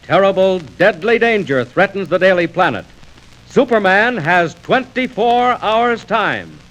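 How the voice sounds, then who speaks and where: A vintage radio serial narrator, delivers suspense in a high voice. The delivery is emphatic, with very lively intonation. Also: male voice, a little slow, poor audio. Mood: suspenseful. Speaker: announcer. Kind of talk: dramatic 1940s radio narration.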